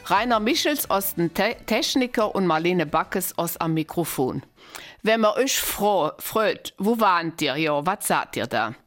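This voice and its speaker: A woman's voice: fairly low pitch, expressive, unhurried, soft background music. Chatty dialect-speaking woman radio host. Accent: East Belgian German dialect. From German-speaking Belgium. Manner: chatty, prompting with short questions. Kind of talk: local radio dialect interview.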